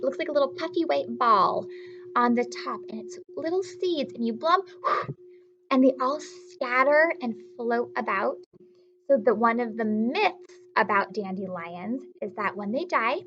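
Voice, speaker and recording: A woman teacher reading aloud and explaining simply to young children, often putting questions to the listener with emphatic, drawn-out storytelling delivery. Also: a little high, fairly bright tone, highs cut off, a little hiss or hum.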